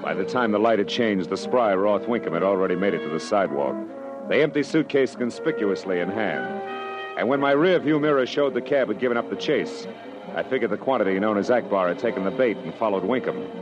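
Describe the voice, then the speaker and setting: Man acting as a low-voiced, wisecracking hardboiled detective. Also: lively intonation, brisk, natural voice. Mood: tense narration. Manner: wry, slangy tough-guy delivery. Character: wisecracking hardboiled male private detective narrating his case. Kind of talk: classic radio detective drama acting and narration. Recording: dull old radio recording with music behind.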